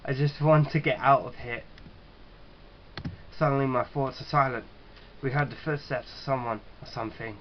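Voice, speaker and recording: Man, medium pitch, halting read-aloud of game story, casual sweary asides, muffled lo-fi mic, slight background noise. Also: steady tone. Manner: halting reader who stumbles and rereads. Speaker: gaming video host. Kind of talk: reading visual novel narration aloud with casual reactions.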